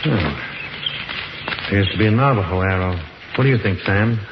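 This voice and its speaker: An actor playing an authoritative, learned professor leading an expedition in a 1950s radio drama. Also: male voice, lowish voice, normal speed, close to an everyday voice. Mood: scholarly. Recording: muffled, echoey, very noisy old radio recording.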